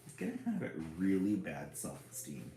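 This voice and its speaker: Guy reading a picture book out loud, lowish voice, casual asides, roomy echo. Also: moderate pace. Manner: chuckling, expressive. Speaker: read-aloud host. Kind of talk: casual storybook read-aloud with asides. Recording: roomy echo, some background noise.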